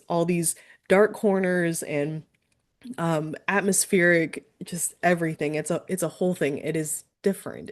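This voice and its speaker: Low-pitched woman podcast host. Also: a little mellow. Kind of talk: casual interview.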